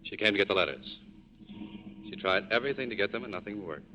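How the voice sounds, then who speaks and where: A man's voice: fairly low pitch, animated, quick pace, sharp tone, muffled recording. A man playing a world-weary, resolute tough guy in a 1940s radio drama. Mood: firm, wistful. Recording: noisy vintage radio broadcast recording.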